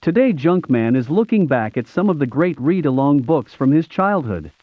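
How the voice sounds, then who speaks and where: Animated American man, tinny narrow sound. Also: a little high, normal speed. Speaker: YouTube show host. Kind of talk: scripted nostalgic narration in read-along storybook format.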